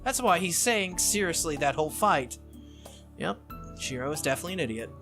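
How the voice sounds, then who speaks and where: A man's voice: fairly high pitch, lively intonation, over music, pitched above the performer's own voice. Man as a bossy, exasperated teenage girl mage. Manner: blunt, scolding, brisk.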